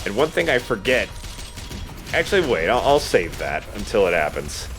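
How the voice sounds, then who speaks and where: Lively low-voiced man doing game commentary. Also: normal speed, over music. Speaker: let's play gamer and commentator. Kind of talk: casual video game commentary.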